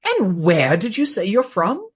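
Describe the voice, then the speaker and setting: A man's voice: high voice, wildly swooping pitch, unhurried, breathy edge, heavily acted. A man playing an astonished, courteous and fussy Regency aristocrat in a radio play, exclaiming and getting flustered.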